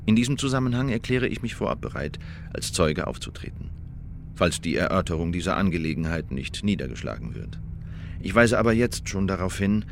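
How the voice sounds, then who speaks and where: An actor playing an evasive Elizabethan agent who gives a formal, measured and insistent witness report in a radio play. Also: male voice, fairly low pitch, lightly acted.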